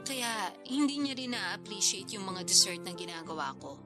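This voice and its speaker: A woman's voice: medium pitch, animated, moderate pace, close to an everyday voice. An actress playing a modest, chatty single mother in her thirties in a radio drama. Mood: wistful. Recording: clear music bed behind dialogue.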